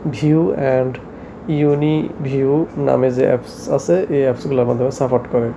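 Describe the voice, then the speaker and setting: A man presenting a hands-on tech tutorial in a halting, repetitive, step-by-step way. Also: medium pitch, steady tone, creaky, background noise. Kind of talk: hands-on product tutorial narration. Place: shop, indoors.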